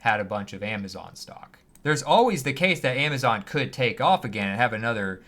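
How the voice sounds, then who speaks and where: A man's voice: medium pitch, lively intonation, brisk, fairly bright tone. A man giving conversational finance commentary as a host, in an opinionated, plain-spoken, emphatic way. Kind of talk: conversational stock market commentary.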